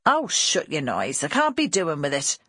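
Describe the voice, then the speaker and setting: A woman's voice: sits low, huge pitch swings, moderate pace, a bit bright, slightly rough, dry recording, clean studio sound, exaggerated voice. A woman narrator voicing a harsh, impatient workhouse woman without sympathy in an audiobook. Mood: irritated, scolding.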